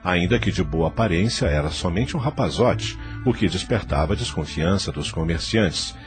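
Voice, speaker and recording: A man's voice: sits low, expressive, normal speed, faint music behind. A man with a Brazilian Portuguese accent narrating an audio novel in a theatrical, literary storytelling manner. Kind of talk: dramatized scripted narration of a novel.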